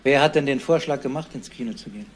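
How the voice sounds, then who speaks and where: An actor playing a matter-of-fact, mildly impatient police detective in a radio crime drama. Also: male voice, mid-range voice, quick pace, natural voice. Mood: calm questioning. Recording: clear background noise.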